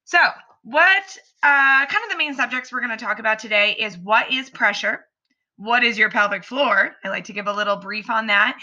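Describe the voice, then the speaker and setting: Bright, slightly raspy woman podcaster. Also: on the high side, lo-fi sound. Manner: earnest, explanatory, encouraging. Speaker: podcast host and pelvic floor fitness coach. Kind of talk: solo instructional podcast.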